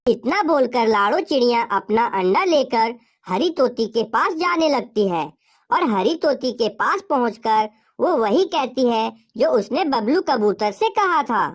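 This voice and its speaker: High, animated female storyteller. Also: a little slow. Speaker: narrator. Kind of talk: scripted cartoon storytelling.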